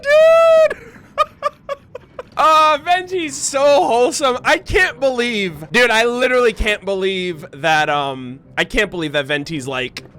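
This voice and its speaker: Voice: medium pitch, expressive, takes it slowly, a bit bright. A streamer giving casual, effusive game commentary, slangy and sweary.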